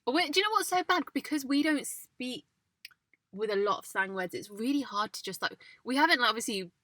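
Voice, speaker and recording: A woman's voice: fairly high pitch, animated, brisk, bright, forceful tone, no echo, studio quality. A woman from England co-hosting a casual chat in a parked car, giggly and chatty. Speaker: podcast co-host.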